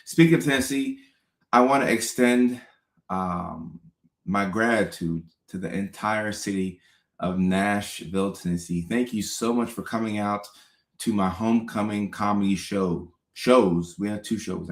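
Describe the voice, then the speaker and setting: A man in his thirties, a comedian born in Ghana, hosting a casual livestream, upbeat and repeating his thanks and shout-outs to viewers. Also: fairly low pitch, measured pace. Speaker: comedian and show host. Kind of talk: casual livestream chat reading out viewer comments. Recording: roomy echo.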